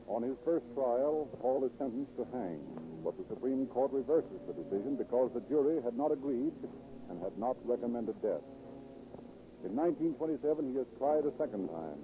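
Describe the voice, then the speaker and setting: A man's voice: medium pitch, muffled recording. Male 1930s radio announcer, noisy recording. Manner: formal, declamatory. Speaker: narrator and announcer. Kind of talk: 1930s radio scripted narration.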